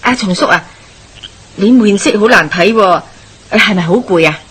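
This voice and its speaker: An actress playing a warm-hearted, nosy middle-aged village wife with a Hong Kong Cantonese accent, gossipy and exclamatory, in a radio drama. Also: female voice, medium pitch, moderate pace, slightly rough. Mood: chatty, solicitous.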